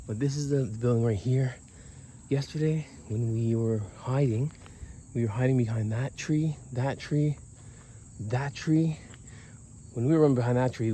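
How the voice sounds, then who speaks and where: A man vlogging as he explores a large abandoned building, speaking in a hushed, hurried way to his viewers and a friend, recorded on a handheld camera. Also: mid-range voice, slight background noise.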